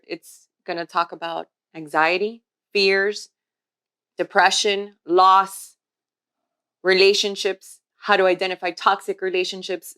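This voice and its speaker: A woman in her forties co-hosting a podcast, talking in a warm, steady, explanatory way. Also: fairly low pitch, smooth. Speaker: podcast co-host, healthcare worker. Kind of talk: casual podcast chat.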